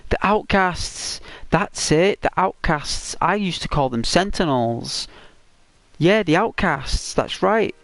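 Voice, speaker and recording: A man hosting a gaming channel, chatting casually and rambling to his viewers in a croaky, hoarse voice. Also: sits high, lively intonation, slow, fairly bright tone, dry recording. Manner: rambling, talks straight to viewers.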